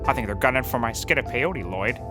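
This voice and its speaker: A man's voice: mid-range voice, lively intonation, a little fast, soft background music, natural voice. A man playing a deluded, addled slacker detective in a comedy audio drama, rambling and stammering erratically. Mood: indignant.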